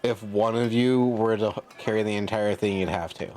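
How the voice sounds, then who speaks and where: Male game master, lower register, halting and informal, improvising scene description at the table. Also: steady tone, darkish tone, low-quality recording.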